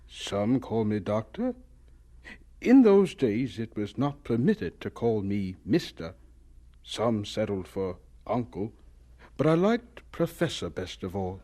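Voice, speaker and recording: Slow, mellow old professor. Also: male voice, on the low side, sing-song, heavily acted. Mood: reminiscing, wry. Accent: American. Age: older. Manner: deliberate, dignified, folksy. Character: dignified, wry old scientist recalling his childhood. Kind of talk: radio drama storytelling.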